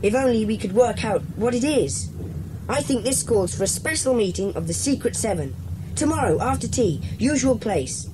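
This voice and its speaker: Woman playing a bossy, high-voiced schoolboy leader. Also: expressive, noisy background, natural voice. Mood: authoritative. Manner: brisk, decisive, organising. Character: Peter, bossy, sensible schoolboy leader of a secret society. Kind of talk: dramatised children's audiobook acting.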